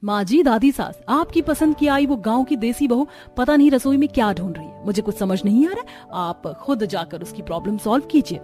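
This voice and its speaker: Woman acting a haughty high-voiced modern sister-in-law. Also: animated, a little fast, hardly any pauses, darkish tone, soft background music, close to an everyday voice. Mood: indignant. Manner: scornful, mixes English into Hindi.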